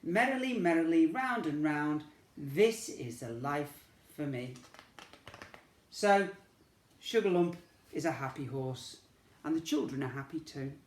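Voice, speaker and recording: Slow, gentle man reading to children. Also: fairly high pitch, animated, roomy sound. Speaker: teacher. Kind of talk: picture-book read-aloud for young children.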